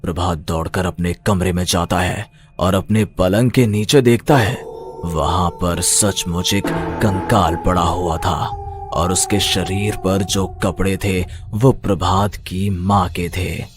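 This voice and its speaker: Male narrator, deep voice, dramatic scripted horror storytelling, prominent music behind. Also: steady tone, slow pace.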